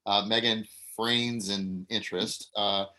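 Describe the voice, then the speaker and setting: Man reading stage directions, bright tone. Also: on the low side, fairly even intonation, slow, poor audio.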